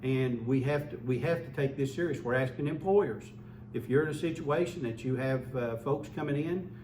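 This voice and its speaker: A man's voice: mid-range voice, moderate pace, darkish tone. Man giving a health warning. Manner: earnest, repeats words when restarting. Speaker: local official. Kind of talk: public health update. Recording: echoey room, mic far off, faint hum.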